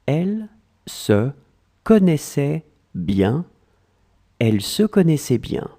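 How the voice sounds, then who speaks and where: A language tutor voice reading short phrases in slow dictation, repeating each one and splitting it into chunks. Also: mid-range voice, big pitch swings, mellow.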